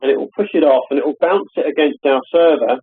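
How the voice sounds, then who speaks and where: A man giving a hesitant, filler-heavy software demonstration on a live call from his home office. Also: a little high, quick pace, husky, phone-quality sound. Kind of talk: live webinar product demo. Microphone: call audio.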